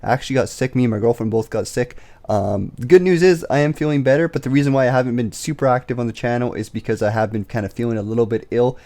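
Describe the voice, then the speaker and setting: A young man in his twenties with a raspy voice, still getting over being sick, giving a casual investing update from his bedroom into a desk mic. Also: medium pitch, dark tone. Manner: quick, filler-heavy talk. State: recovering from illness, slight cough. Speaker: investing YouTuber. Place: bedroom, indoors. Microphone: desk microphone on boom arm.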